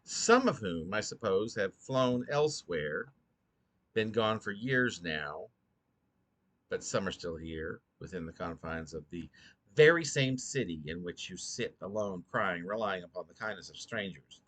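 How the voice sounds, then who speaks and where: Man, low-pitched voice, sleepy, poet reading own work, deliberate delivery, halting, repeated phrases. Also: animated, a bit bright. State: drowsy, short on sleep. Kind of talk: slow, halting poetry reading.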